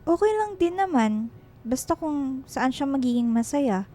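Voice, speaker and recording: A woman's voice: high-pitched, expressive, measured pace, dark tone. A woman playing a cheerful, bashful sixteen-year-old little sister in a radio drama. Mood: earnest.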